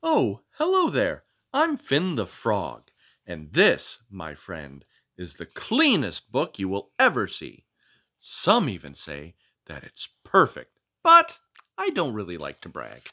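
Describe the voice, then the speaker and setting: A man's voice: fairly low pitch, huge pitch swings, takes it slowly, bright, forceful tone, full character voice. A man voicing a small, fussy, boastful frog who is obsessed with neatness and prone to melodramatic outbursts, reading a picture book aloud. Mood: smug, boastful. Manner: prim, scolding, melodramatic outbursts. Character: fussy, boastful neat-freak frog, small, easily outraged.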